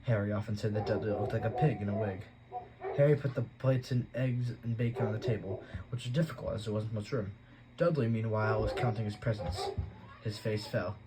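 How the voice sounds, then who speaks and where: A man's voice: on the low side, a little fast, noisy background. Man reading a storybook aloud. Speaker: audiobook reader.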